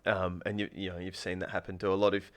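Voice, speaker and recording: Rambling, low-voiced man. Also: level tone, quick pace, a bit bright. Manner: rambling, thinks aloud, restarts often. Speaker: podcast guest, spearfisher and food truck owner. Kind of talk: casual podcast chat.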